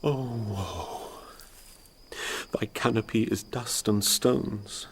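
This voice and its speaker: Proud low-voiced young British noble. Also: male voice, drawn-out words, slightly rough, full character voice. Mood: mournful, tender. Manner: formal, declamatory verse delivery. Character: Paris, proud mournful young noble suitor. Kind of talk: Shakespearean radio drama acting.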